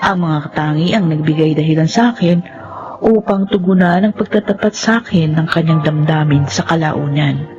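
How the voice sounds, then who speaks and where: A woman's voice: sits low, moderate pace, muffled recording, music in the background, lightly acted. An actress playing a respectful young woman in a Filipino radio drama, reflective and polite, with a Filipino accent. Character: gentle, respectful young woman from poor family. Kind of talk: radio drama acting and first-person narration.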